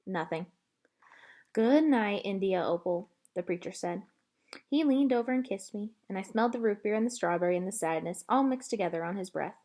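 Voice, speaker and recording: A woman narrating a children's book aloud in an expressive read-aloud style. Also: medium pitch, fast.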